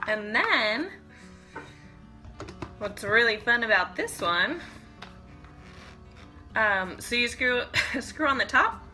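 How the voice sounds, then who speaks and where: A woman's voice: fairly high pitch, big pitch swings, slow pace, long pauses, bright, forceful tone, faint music behind. A woman in her thirties talking informally to camera as a fitness vlogger, chatty and thinking aloud as she demonstrates things in a home kitchen. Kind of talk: casual chat to camera, product demonstration.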